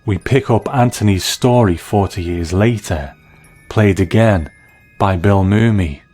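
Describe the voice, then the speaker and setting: Man, deep voice, scripted review narration, soft music behind.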